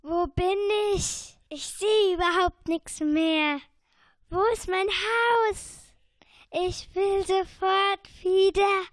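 A woman's voice: extremely high pitch, steady tone, very slow, no room sound, clean studio sound, exaggerated voice. A young female performer playing a boastful, anxious little male earworm in a children's radio play, with dramatic exclamations. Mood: frightened.

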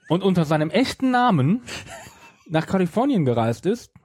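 A man's voice: high voice, sing-song, unhurried, darkish tone, breathy edge. A man hosting a podcast, chatting casually in an argumentative, ironic way. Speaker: podcast host. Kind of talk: casual chat.

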